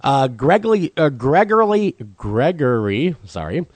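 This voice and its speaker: A man's voice: fairly high pitch, big pitch swings, measured pace, dry recording. A man co-hosting a talk podcast, chatty and agreeable, his voice a bit froggy from a cold. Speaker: podcast co-host. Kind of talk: casual discussion.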